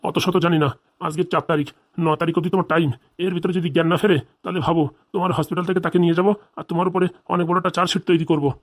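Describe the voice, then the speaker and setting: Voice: mid-range voice, little pitch movement, racing, natural voice. A performer voicing a stern, threatening male village police officer in a comedy cartoon, blunt, bossy and interrogating.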